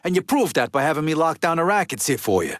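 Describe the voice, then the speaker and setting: A man's voice: on the high side, very animated, fast talker, a bit bright, no echo. Game mobster: middle-aged Italian-American tough guy, East Coast accent, street-smart, swearing freely, dry studio sound. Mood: businesslike. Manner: blunt, profane, street-tough wiseguy talk. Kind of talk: video game character dialogue.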